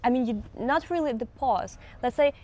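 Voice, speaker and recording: A woman's voice: a little high, moderate pace, warm tone. A woman with a Russian accent, a native Russian speaker, patiently coaching a student on English pronunciation and modeling sounds for him. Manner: patient, explains with examples. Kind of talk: teaching pronunciation.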